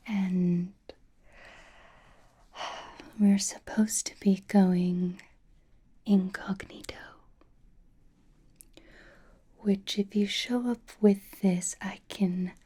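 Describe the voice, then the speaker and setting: A seductive, teasing vampire acted out by a woman murmurs in an ASMR roleplay. The whisper is soft, airy and mellow, and the phrases come very slowly. The manner is coy and theatrical, full of doting affection. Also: mid-range voice, fairly even intonation. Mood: teasing, flirtatious. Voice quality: breathy whisper. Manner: coy, theatrical, old-fashioned endearments, lingering phrasing. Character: flirtatious, doting, teasing female vampire companion.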